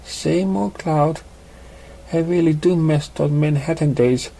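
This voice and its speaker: A cheerful young pony mare acted out by a man in a storybook reading. The voice sits raised in pitch and sounds eager and affectionate, chatting like an old friend. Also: slow, gravelly, mellow, full character voice. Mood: happy, chatty.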